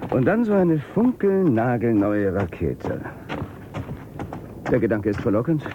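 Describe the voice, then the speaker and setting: A man playing a suspicious, insinuating companion in a radio drama. His voice is medium-pitched and questioning, dulled, with clear vehicle noise around it. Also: lively intonation, unhurried, lo-fi sound, lightly acted. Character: probing, suspicious male companion. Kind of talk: German radio drama acting.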